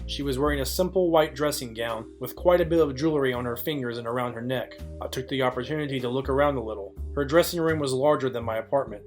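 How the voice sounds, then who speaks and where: A man's voice: mid-range voice, natural voice. A man playing a dry, wry, hardboiled private detective, narrating and questioning in film noir style. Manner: deadpan, wry.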